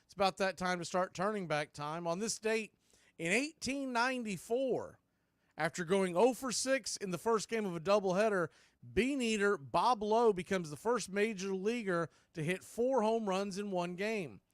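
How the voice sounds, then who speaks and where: A man calling live baseball play-by-play, as the show's announcer, in a steady, measured delivery that tracks each pitch. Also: dry recording, studio quality.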